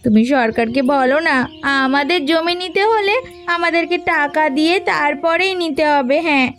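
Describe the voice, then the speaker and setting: A woman voicing a dramatic village wife in a cartoon, complaining and sharp-tongued. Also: high-pitched, moderate pace, soft background music. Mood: resigned, plaintive. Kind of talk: Bengali cartoon voice acting.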